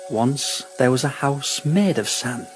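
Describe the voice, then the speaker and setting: A woman's voice: rumbling low, slow. A woman playing a grave storyteller in an audio drama chorus, chanting short poetic phrases. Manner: incantatory, repetitive phrasing. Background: loud music.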